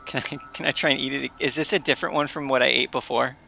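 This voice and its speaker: Bright, creaky-voiced man playing a tabletop game. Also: mid-range voice, fairly even intonation, fast talker, faint music behind. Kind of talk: casual in-character improvised chat.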